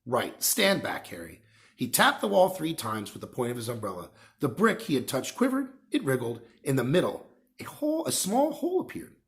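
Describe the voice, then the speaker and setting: A man reading a children's novel aloud as an expressive storyteller. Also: mid-range voice, fairly quick. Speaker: reader, storyteller.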